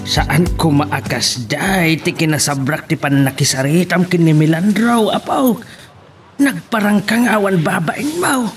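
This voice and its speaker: A man's voice: on the high side, expressive, unhurried, distant mic, soft background music. A man playing a weary elderly grandfather in a radio drama. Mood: weary, concerned.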